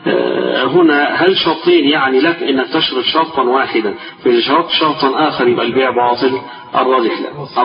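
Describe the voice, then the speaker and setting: A man with an Egyptian accent teaching a religious lesson. His voice is tired and strained. He reads a text slowly, then explains it in colloquial speech and repeats the key phrases. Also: medium pitch. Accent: Egyptian Arabic. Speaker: sheikh teaching a class. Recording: distant, echoey, dull-sounding lecture recording with music.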